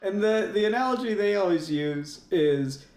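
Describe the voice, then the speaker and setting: A man's voice: high voice, expressive, moderate pace, darkish tone, echoey room, faint music behind. A man hosting a casual fan podcast, talking in a rambling, enthusiastic way and swearing casually. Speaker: podcast host.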